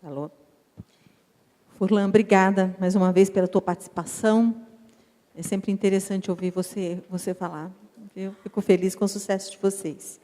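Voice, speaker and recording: A woman's voice: mid-range voice, steady tone, normal speed, warm tone. A woman with a Brazilian accent moderating a conference panel, cordially thanking one speaker and introducing the next. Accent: Brazilian Portuguese. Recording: reverberant conference hall.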